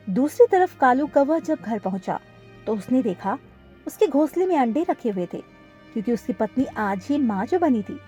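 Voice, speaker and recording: High-pitched, lively woman storyteller. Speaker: narrator. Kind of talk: scripted children's cartoon story narration.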